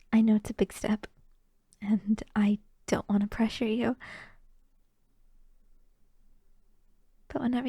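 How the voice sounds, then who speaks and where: A young woman playing a shy, affectionate girlfriend in an intimate ASMR roleplay, soft and hesitant, often slipping into whispers close to the microphone. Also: a little high, vocal fry, slightly rough, no echo, full character voice. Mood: tender, shy.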